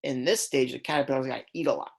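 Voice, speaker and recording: A woman reading aloud as a kindergarten teacher, in a deliberate, instructional way that stresses key words. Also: very deep voice, fairly even intonation, creaky, husky. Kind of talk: read-aloud lesson for young children.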